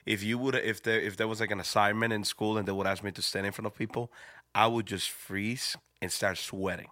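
A lower-pitched man talking, his voice bright and forward. He chats casually as a pastor co-host, halting and restarting his sentences. The recording is dry and close. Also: steady tone, no room sound. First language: Spanish. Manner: halting, repeats words as he goes. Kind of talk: casual podcast chat.

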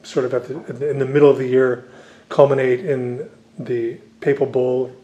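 A man's voice: mid-range voice, level tone, moderate pace, warm tone. A man who grew up speaking Dutch, a visiting scholar and author answering interview questions at length, with many fillers and restarts.

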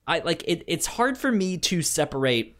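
A man co-hosting a podcast in casual chat, halting and stammering over his words as he talks. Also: a little high, big pitch swings, a bit bright. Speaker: podcast co-host.

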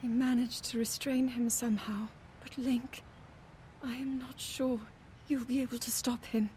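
An actress voicing a gentle, earnest young princess in a video game cutscene, with hushed, breathy, halting delivery. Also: female voice, on the high side, little pitch movement, background noise, natural voice. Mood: hushed worry. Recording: game audio under loud music.

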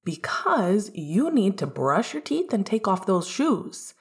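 A woman's voice: mid-range voice, very animated, takes it slowly. A woman reading an upbeat, chatty young man, a caring best friend with an eager, insistent manner.

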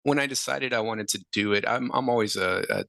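Deep gravelly-voiced male musician. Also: a little fast, dry recording, clean studio sound. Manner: relaxed, rambling, many fillers. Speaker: musician guest. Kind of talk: casual interview answers.

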